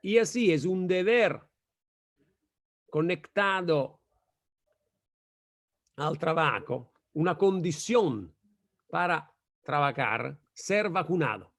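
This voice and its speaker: A man with an Italian accent, speaking Spanish as a second language, giving an academic lecture over a video call in a slow, halting way with frequent self-corrections. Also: fairly high pitch, expressive, a bit bright. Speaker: guest lecturer.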